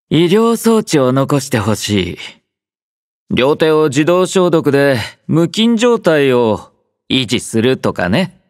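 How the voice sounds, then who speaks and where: Young anime game soldier: aloof yet quietly caring, unhurried delivery with lively swings in pitch, close dry sound. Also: male voice, dry recording. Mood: matter-of-fact. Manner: languid, dryly teasing, understated. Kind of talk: Japanese anime-style game character voice lines.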